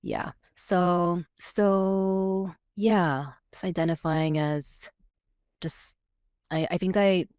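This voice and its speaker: A woman's voice: lowish voice, steady tone, very slow, a little mellow, dry recording, clean studio sound. A woman in her thirties, born in Korea with Korean as her first language and raised in California, talks reflectively as a podcast guest, searching for words as she goes. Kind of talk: casual chat.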